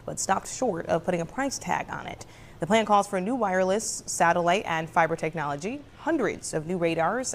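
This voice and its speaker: American woman anchor doing a news interview, slightly low voice. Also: a little hiss or hum.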